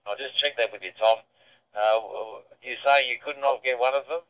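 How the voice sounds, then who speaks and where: A man's voice: mid-range voice, fairly even intonation, normal speed, fairly bright tone. A man heard over a two-way radio, chatting informally as an amateur radio operator. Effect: radio transmission. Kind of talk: unscripted ham radio exchange. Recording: dull band-limited two-way radio audio.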